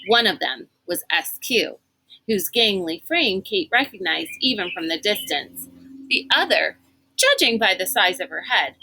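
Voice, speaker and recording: A woman reading a storybook aloud as an expressive narrator. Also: medium pitch, vocal fry, a little hiss or hum, rough recording.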